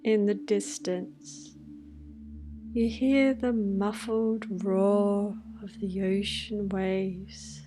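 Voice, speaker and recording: A woman guiding a scripted meditation in a hushed, soothing manner, leaving long pauses between phrases. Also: mid-range voice, level tone. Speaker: meditation guide. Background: music with singing bowls.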